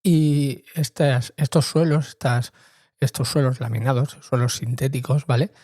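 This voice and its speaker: A man's voice: mid-range voice, steady tone, a little slow, mellow, dry recording, studio quality. A middle-aged man hosting a podcast in an informal monologue, speaking hesitantly and repeating words for emphasis. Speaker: podcast host.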